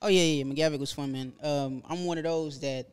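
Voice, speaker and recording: A man's voice: on the high side, fairly even intonation, brisk, clean tone. Modest, easygoing man from Zimbabwe. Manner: easygoing, modest, hesitant fillers. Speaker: barber, guest. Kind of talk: casual interview chat. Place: his barbershop.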